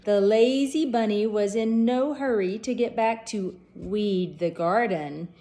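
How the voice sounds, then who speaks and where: A woman P.E. teacher reading a children's storybook aloud, clear and expressive. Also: medium pitch, slow pace.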